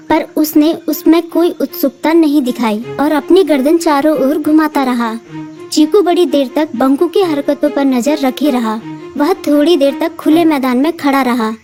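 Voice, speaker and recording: A woman narrating a cartoon story in a calm, even storytelling voice. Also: high-pitched.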